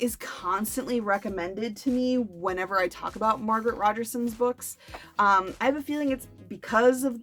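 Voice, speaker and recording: A woman's voice: a little high, fairly bright tone. A woman chatting casually to camera as a book review vlogger, with playful, self-deprecating asides.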